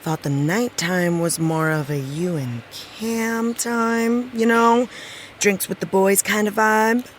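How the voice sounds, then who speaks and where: A woman's voice: mid-range voice, slow, slight background noise, natural voice. A woman playing a wry, candid actress and supportive friend in an audio drama, with dry, teasing, naturalistic delivery. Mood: teasing, casual.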